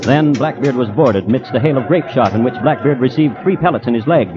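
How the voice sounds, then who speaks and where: A man playing a crime historian who narrates an old radio drama in a measured, dryly ironic way. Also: medium pitch, music in the background, close to an everyday voice. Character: dry, storytelling male crime historian. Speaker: host and narrator. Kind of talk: 1950s radio scripted narration. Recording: dull old radio recording, faint noise.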